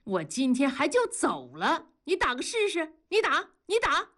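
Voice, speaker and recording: Casting: sharp-tongued jealous wife for audiobook acted by a female narrator, scornful, goading, high and highly animated. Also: a little fast, husky. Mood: furious goading. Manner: scolding, interrogating, goading. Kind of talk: audiobook character dialogue.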